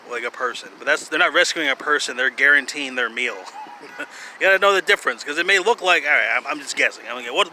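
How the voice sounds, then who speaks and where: A man in his thirties rambles in a medium-pitched voice, stopping and restarting with a sardonic edge. He is outdoors, with clear street and vehicle noise around him. The delivery is a loose, casual monologue. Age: 30s. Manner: halting, repetitive, sardonic, profane. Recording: clearly noisy outdoor urban ambience, traffic.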